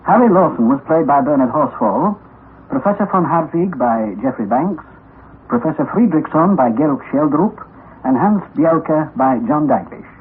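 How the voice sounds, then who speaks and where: A man's voice: fairly high pitch, normal speed. A man announcing the credits at the end of a radio drama in a formal manner. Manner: formal, even delivery. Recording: tinny old radio broadcast with hiss.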